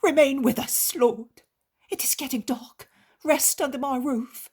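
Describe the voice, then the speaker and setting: A woman's voice: high-pitched, steady tone, a little slow, a little mellow, pitched above the performer's own voice. A woman voicing a repentant male innkeeper who pleads and praises fervently. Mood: joyful.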